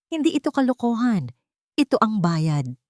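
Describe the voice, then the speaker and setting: A woman's voice: fairly high pitch, very animated, moderate pace, a little mellow, no room sound, clean studio sound, pitched above the performer's own voice. A woman voicing a cold, controlled, vengeful female schemer in an audio story. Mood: cold threat.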